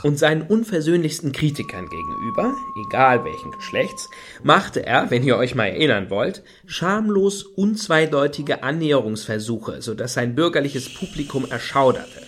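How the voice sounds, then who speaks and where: A carping, animated male critic. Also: a little high, normal speed, slight background noise. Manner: emphatic, sardonic, long fault-finding sentences. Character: carping, indignant male critic among an artist's entourage. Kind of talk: satirical German radio play acting.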